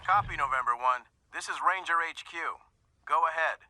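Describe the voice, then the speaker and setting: A brisk, clipped radio dispatcher. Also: male voice, on the high side, pushed and bright, a little hiss or hum. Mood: businesslike. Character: male military radio dispatcher, brisk and businesslike. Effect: radio transmission.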